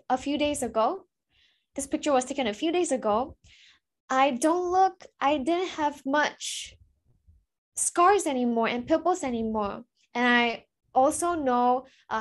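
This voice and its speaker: A woman in her thirties giving an earnest, chatty personal testimonial over a video call, with fillers and restarts. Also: high-pitched. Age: 30s. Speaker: product testimonial speaker. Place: indoors before a virtual backdrop. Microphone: webcam on a video call.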